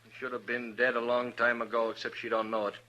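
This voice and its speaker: A man's voice: medium pitch, level tone, quick pace, sharp tone, lo-fi sound, close to an everyday voice. An American man playing a weary, folksy Old West drifter in an old-time radio western, with rustic, laconic speech. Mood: dry, folksy. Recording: noisy vintage radio recording.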